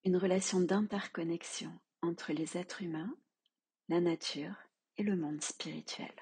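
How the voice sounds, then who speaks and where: A woman teaching yoga nidra, leading a guided relaxation in a soft, calm, measured way with long pauses. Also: lowish voice, husky.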